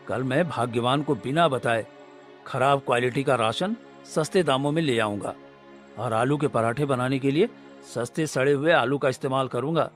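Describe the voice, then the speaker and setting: A man's voice: mid-range voice, close to an everyday voice. A man playing a greedy, scheming food-cart husband in a Hindi cartoon story, with a fawning, pleading manner. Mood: sly, scheming.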